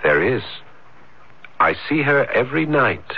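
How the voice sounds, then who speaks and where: A man's voice: mid-range voice, sing-song, a little slow, husky, exaggerated voice. An actor playing a dreamy, romantic, solitary man in a radio drama, theatrically savouring each word. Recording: noisy, muffled 1970s radio broadcast.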